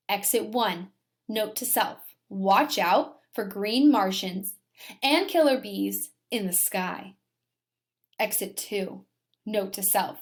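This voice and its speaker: Animated, slow-paced woman teacher reading aloud. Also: fairly high pitch. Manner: animated, dramatic emphasis, addresses children directly. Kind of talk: expressive children's story read-aloud and lesson.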